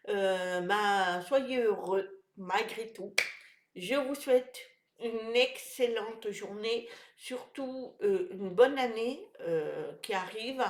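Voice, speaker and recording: A woman's voice: a little high, echoey room. A woman with a head cold, her voice nasal and stuffy. She gives wellness tips to her viewers in a hesitant, casual way, with many fillers and restarts. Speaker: wellness vlogger. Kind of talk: casual talk to camera.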